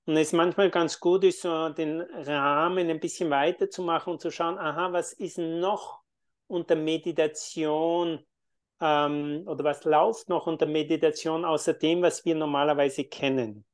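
A man who teaches meditation and yoga, answering interview questions in a calm, thoughtful way with frequent fillers. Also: fairly high pitch, moderate pace. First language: German.

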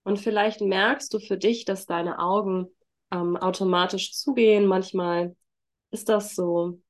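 A woman with a congested voice from a heavy cold, speaking gently and informally as a yoga teacher leading a workshop. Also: mid-range voice, fairly even intonation, a little slow. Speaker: trauma-sensitive yoga teacher and facilitator. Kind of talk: workshop introduction and guided mindfulness.

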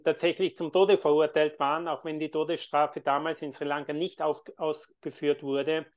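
A man who teaches meditation and yoga, answering interview questions in a calm, thoughtful way with frequent fillers. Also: on the high side, clean tone. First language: German.

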